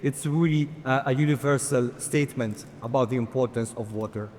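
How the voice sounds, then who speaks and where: A man's voice: mid-range voice, fairly quick, darkish tone. A man giving a conference presentation, earnest with touches of light humor, asking for the next slides. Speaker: conference speaker. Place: large conference hall. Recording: long hall reverb.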